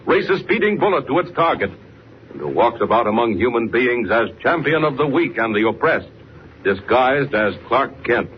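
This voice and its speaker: A man's voice: on the low side, natural voice. A man narrating as the emphatic, dramatic announcer of a 1940s superhero radio serial, on an old transcription recording. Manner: emphatic, theatrical. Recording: noisy, dull vintage radio transcription.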